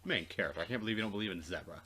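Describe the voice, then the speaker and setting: A man's voice: low-pitched, racing, sharp tone. A man casually narrating a game and bantering with a co-host. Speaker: let's player. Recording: game music behind the voice. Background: game music.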